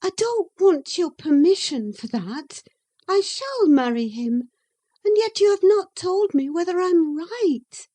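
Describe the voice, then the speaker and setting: A woman reading aloud puts on a headstrong young woman in a storybook, her voice pitched well above the reader's own and swinging widely. She speaks with impassioned emphasis, slow and deliberate, with a mellow softness under the heat. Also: sing-song, exaggerated voice. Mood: insistent. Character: passionate headstrong young woman, dreamy and willful. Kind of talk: audiobook character reading.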